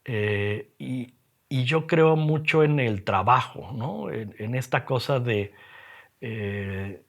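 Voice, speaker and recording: A middle-aged man with a Mexican accent, talking reflectively in an interview, haltingly and with frequent tag questions. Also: mid-range voice, creaky. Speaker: interviewed graphic designer and teacher. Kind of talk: reflective personal interview talk.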